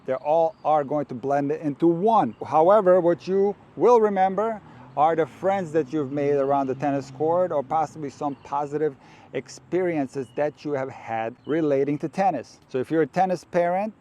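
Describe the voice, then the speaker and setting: Man, from Croatia, tennis coach, earnest and direct, warm mellow tone, outdoors. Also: a little high. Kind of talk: coaching talk to camera.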